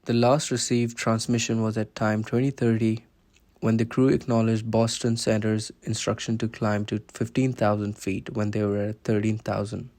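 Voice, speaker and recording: A man's voice: on the low side, level tone, normal speed, a little mellow. A man narrating a scripted documentary, explaining events step by step.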